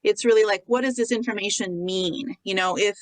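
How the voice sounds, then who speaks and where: A woman's voice: medium pitch, a little fast, no room sound. A woman facilitating an organizing workshop on a video call, explaining ideas with frequent "you know" and "like".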